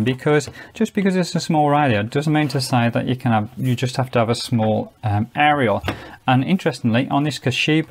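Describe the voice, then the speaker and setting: A man's voice: mid-range voice, creaky, a little mellow. A man giving a casual, chatty product demonstration, explaining things step by step as a reviewer. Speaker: gadget reviewer.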